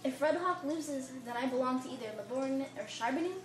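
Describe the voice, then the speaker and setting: A woman's voice: high-pitched, brisk, recorded from a distance, lightly acted. A young woman playing a defiant, outspoken girl in an amateur puppet show, with a plainly recited delivery. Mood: questioning. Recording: echoey room with clear background noise.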